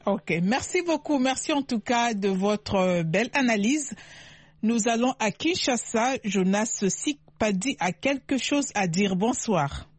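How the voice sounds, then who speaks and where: This is a radio host running a call-in program. The host asks questions and thanks the callers in a polite, brisk manner. The voice is dry and close, with no room sound. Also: mid-range voice, animated, husky, no echo.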